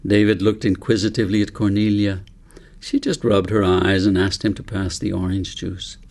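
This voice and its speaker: A man narrating a children's audiobook in a measured, deliberate storytelling manner. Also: low-pitched, flat delivery, darkish tone. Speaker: author reading own book aloud.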